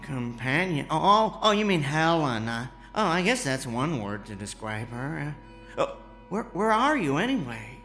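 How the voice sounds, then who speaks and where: A man playing an excitable, kindly amateur inventor in a radio drama, stammering and folksy. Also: a little high, normal speed, soft background music, exaggerated voice. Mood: flustered. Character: excitable, kindly amateur inventor, male former teleportation engineer.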